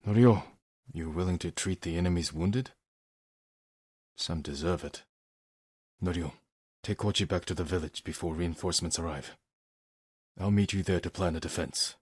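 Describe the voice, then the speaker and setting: An actor playing a stoic, honorable samurai warrior in a video game, weary and grave, speaking in a measured, restrained and protective manner. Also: male voice, deep voice, slightly rough, dry recording, clean studio sound. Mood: quiet, somber. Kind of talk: dramatic video game voice acting.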